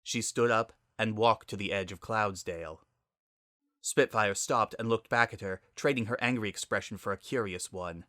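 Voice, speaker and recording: A man's voice: fairly low pitch, moderate pace, pushed and bright, no echo, studio quality. A man reading a story aloud as narrator in a dramatic style.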